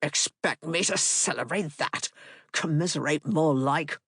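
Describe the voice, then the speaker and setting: A woman's voice: sits low, unhurried, gravelly, fairly bright tone, slightly rough, dry recording, studio quality, heavily acted. A woman doing a sneering cruel father. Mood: contemptuous. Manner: sneering, harsh, clipped. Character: cruel cold aristocratic father, contemptuous.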